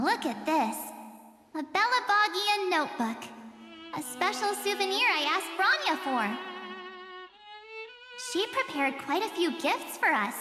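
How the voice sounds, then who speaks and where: A woman voicing a playful, boastful young adventurer girl in a video game, with an expressive, dramatic delivery. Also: very high voice, full character voice. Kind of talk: English video game voice acting. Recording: echoey reverberant hall with music. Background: music with strings.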